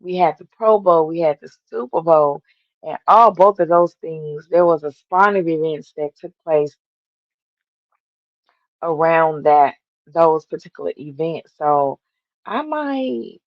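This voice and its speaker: A woman with a gravelly, raspy morning voice hosts a live stream and chats easily with her viewers, filling pauses with ums. Her voice sits low for a woman. The audio is dull, lo-fi and dry. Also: no echo. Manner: chatty, addresses the chat with many ums. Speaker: livestream host. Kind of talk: casual live-stream chat.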